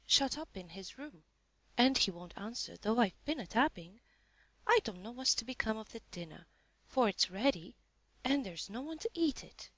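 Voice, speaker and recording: A woman's voice: fairly high pitch, animated, moderate pace, a bit bright, no echo, voice pushed up, low-quality recording. A woman voicing a flustered young housemaid who chatters in homely country speech. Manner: chattering, homely dialect words.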